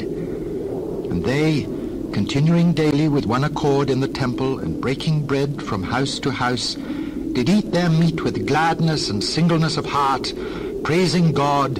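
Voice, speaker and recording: A man narrating a dramatized Bible reading in a measured, formal storytelling manner. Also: medium pitch. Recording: clear background noise.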